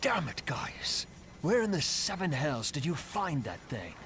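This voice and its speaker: An actor playing a stern, battle-weary and exasperated ally in a fantasy video game. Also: male voice, fairly high pitch, very animated, a little slow, a bit bright, a little hiss or hum, exaggerated voice. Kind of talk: fantasy game dialogue acting.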